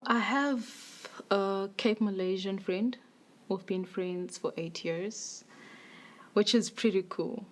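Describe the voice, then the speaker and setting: A woman's voice: medium pitch, slow. A woman speaking casually in an interview, using "like" as a filler. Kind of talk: documentary interview.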